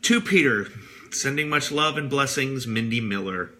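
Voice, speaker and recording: A man's voice: medium pitch, moderate pace, background noise. A man chatting casually as a guest, making short, appreciative remarks. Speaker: guest, Elvis tribute artist. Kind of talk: casual chat.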